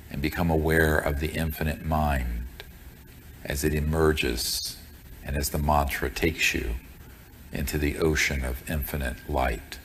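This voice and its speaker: A man with an extremely low voice guides a group through meditation. His delivery is very slow and solemn, with deliberate, measured phrasing. Faint noise and room ambience sit behind him. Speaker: meditation teacher.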